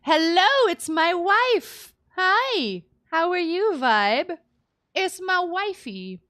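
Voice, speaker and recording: A woman's voice: extremely high pitch, very animated, slow pace, no room sound, studio quality. A woman live streaming a game, chatting casually and playfully with cutesy slang. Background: soft background music.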